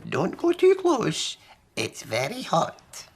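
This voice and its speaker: Old Scottish granny, high swooping pitch, kindly, chirpy, sing-song storybook character. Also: male voice, huge pitch swings, slow pace, vocal fry, husky, heavily acted. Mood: cautioning.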